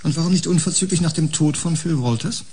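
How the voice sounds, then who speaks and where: An actor playing a stern, relentless male interrogator in a science-fiction radio drama, sharp and confrontational. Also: on the high side, fast talker, breathy edge. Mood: accusing.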